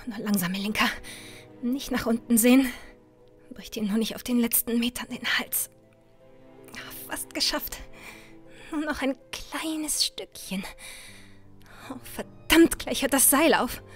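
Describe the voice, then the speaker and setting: A sharp-tongued young heroine of a fantasy radio play, acted by a woman. Her voice sits a little high and has a stubborn, muttering resolve. Soft music runs behind her. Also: a little slow, pauses often, natural voice. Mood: straining, concentrated. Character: determined, grumbling, sharp-tongued young adventuress. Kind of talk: audio drama acting. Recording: music under the voice.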